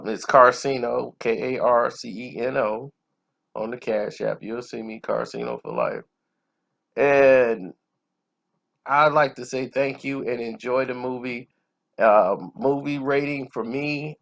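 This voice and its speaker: A man's voice: mid-range voice, creaky. A man hosting a casual movie review, talking informally and conversationally about his opinions. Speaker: movie reviewer, channel host. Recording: dull lo-fi sound.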